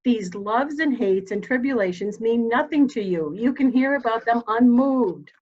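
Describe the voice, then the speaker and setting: A woman's voice: fairly high pitch, animated, darkish tone. Woman playing a dignified elderly matriarch, slightly muffled. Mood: sorrowful, candid. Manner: formal, deliberate, commanding. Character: elderly dying matriarch, dignified, steely, grieving mother. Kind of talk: dramatic reading of a murder mystery.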